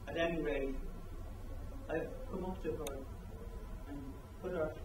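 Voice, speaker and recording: Male actor as regretful husband, middle-aged. Stage reading, deliberate and earnest, slightly high voice, distant microphone. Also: fairly even intonation, close to an everyday voice. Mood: regretful, tender. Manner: halting, deliberate, earnest delivery. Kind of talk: dramatic reading of a stage play. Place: echoing room or hall, recorded from afar. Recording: echoey room, distant mic, loud noise.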